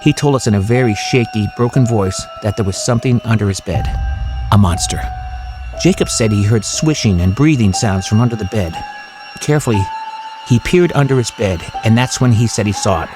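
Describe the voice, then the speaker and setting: A man playing a small-town husband and father, narrating his memories in a measured, conversational way for a horror audio drama. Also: fairly low pitch, expressive, close to an everyday voice, poor audio. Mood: reflective, measured. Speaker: first-person narrator. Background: music.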